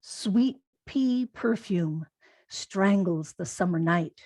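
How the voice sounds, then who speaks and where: A woman poet reading haiku aloud in a measured delivery with pauses between the phrases. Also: medium pitch, lively intonation, warm tone, no echo. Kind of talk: poetry reading.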